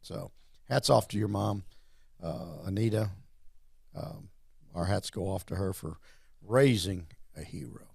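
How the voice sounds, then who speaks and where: Male interview host, low gravelly voice, meandering friendly delivery. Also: a little slow, long pauses, a little mellow, no echo, clean studio sound. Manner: rambling, repeats words, friendly. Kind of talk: casual interview.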